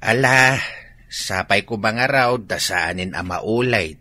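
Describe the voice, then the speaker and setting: A man's voice: fairly low pitch, slow pace, sharp tone, heavily acted. A man playing an older, admonishing but hearty father and grandfather in an Ilocano radio drama.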